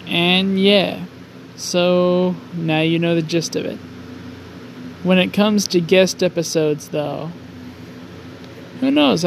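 A young man hosting a casual solo podcast from his garage, rambling, mocking himself and swearing often. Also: vocal fry. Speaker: podcast host. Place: home garage. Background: faint highway traffic.

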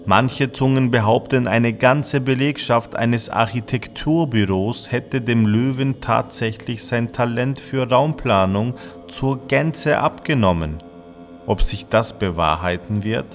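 Male storyteller for a fable: mid-pitched, very unhurried reading, soft music underneath. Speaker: narrator.

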